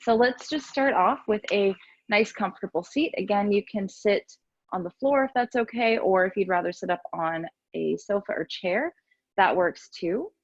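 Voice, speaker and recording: A woman teaching yoga and meditation, giving gentle, soothing, unhurried guidance with pauses between instructions. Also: mid-range voice, no echo, highs cut off.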